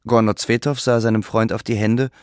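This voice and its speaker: A man's voice: on the low side, little pitch movement, brisk, a little mellow, no echo, clean studio sound. A man reading scripted literary narration as the narrator of a German audio drama.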